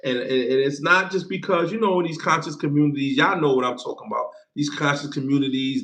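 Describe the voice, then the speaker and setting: A man's voice: medium pitch, reverberant, rough recording. A man in his forties hosting a casual livestream, loose and playful, repeating himself and thanking viewers for gifts. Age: 40s. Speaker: livestream host.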